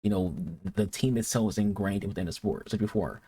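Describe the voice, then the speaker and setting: A man's voice: on the low side, brisk, warm tone, slight background noise. A man from Detroit hosting a reaction video, talking casually and rambling with frequent restarts and repeated words. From Detroit, United States.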